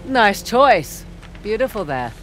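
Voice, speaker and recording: An actress playing a wry, easygoing, confident treasure hunter in a video game, bantering in a natural conversational way. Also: female voice, medium pitch, very animated, slow pace, music in the background, poor audio. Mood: relaxed, curious.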